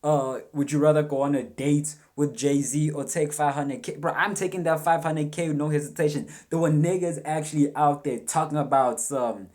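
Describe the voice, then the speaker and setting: A man's voice: a little high, steady tone, normal speed, hardly any pauses, a little mellow. Laid-back man giving slangy reaction commentary. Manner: loose, slangy and profane, thinking aloud. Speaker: reaction video host.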